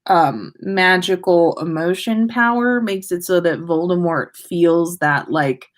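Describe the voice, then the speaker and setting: A woman's voice: on the low side, takes it slowly. A woman hosting a livestream, reading stories and chatting casually in a playful manner. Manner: giggly, playful. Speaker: podcast host reading listener stories.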